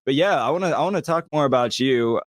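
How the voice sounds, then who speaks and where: A man's voice: medium pitch, lively intonation, fast talker. An American man hosting a podcast, talking casually in an informal, slangy, chummy way. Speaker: podcast host.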